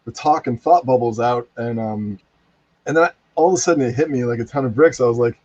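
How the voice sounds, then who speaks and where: A man's voice: medium pitch, fast talker, darkish tone. A man chatting casually in a rambling way, often quoting himself as he tells an anecdote. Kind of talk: casual animated chat. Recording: dry, dull lo-fi connection.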